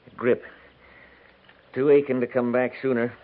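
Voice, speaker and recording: An actor playing a stubborn, weary frontier homesteader in a 1950s radio western, with terse, folksy rustic speech. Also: male voice, medium pitch, flat delivery, normal speed, lightly acted. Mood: plain, defensive. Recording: noisy, tinny 1950s radio recording.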